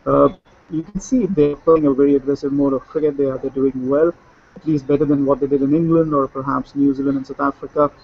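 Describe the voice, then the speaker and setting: A man's voice: mid-range voice, steady tone, a little fast, gravelly, breathy edge. Man analysing cricket over dull lo-fi audio. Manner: fluent, with fillers and restarts. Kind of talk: casual cricket discussion. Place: Sydney, remote link.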